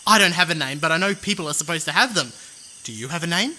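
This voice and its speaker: A woman's voice: fairly low pitch, lively intonation, fast, bright, forceful tone, a little hiss or hum. A woman playing a guileless, polite, cheerful scarecrow in a fantasy audio drama. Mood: innocent, apologetic. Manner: guileless, apologetic, chirpy. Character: naive, polite, cheerful brainless talking scarecrow.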